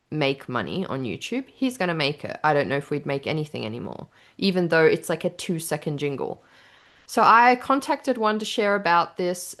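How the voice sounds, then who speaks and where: Woman, podcast co-host, casual chat, low voice, giggly, candid, swears casually, animated pitch.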